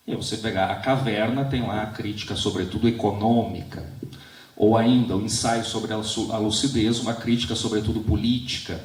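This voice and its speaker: A man from Brazil, a professor speaking on a literature panel. He talks in a reflective, measured way, often adding "né". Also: medium pitch. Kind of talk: panel discussion with personal anecdotes. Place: auditorium at a literary festival. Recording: echoey hall, distant mic.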